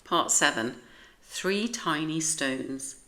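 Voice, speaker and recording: A woman with a British accent narrating a children's storybook as an expressive read-aloud. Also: sits low, takes it slowly.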